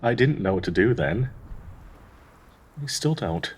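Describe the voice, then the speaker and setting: A man playing a gentle, grieving dryad in an intimate audio roleplay, speaking in a tender, halting, wistful way with a husky, breathy voice. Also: on the low side, animated. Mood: troubled, sorrowful. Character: gentle, grieving male dryad devoted to a sick companion.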